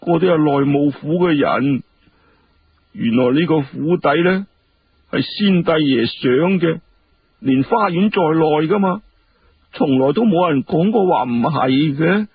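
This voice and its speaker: A man's voice: a little slow, phone-quality sound, a little hiss or hum. A man voicing a timid, distressed household servant in Hong Kong Cantonese, deferential and plaintive, for a told story. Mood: confiding, worried. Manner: deferential, plaintive, high-pitched, hushed.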